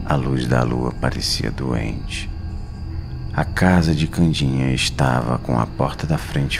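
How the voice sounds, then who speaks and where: Brazilian guy doing horror narration, super gravelly, swings up and down a lot, dramatic pauses, music under it. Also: rumbling low. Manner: slow, suspenseful, with dramatic pauses. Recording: narration over clear background music.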